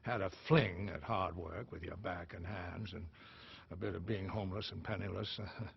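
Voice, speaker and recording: A bitter, proud old actor father, performed by a man in a stage drama monologue. The voice is heavily husky with a creaky, gravelly edge. He is theatrical and regretful, moving between hushed and declamatory delivery, on a slightly muffled recording. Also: low voice, fairly even intonation, moderate pace, full character voice. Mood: grudging respect. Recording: dull, lo-fi sound.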